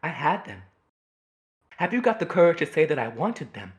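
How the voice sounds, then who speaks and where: A woman's voice: deep voice, big pitch swings, normal speed. A woman playing a grieving, wronged mother in a stage drama, with a solemn, pleading delivery. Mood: indignant. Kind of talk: theatrical stage play acting.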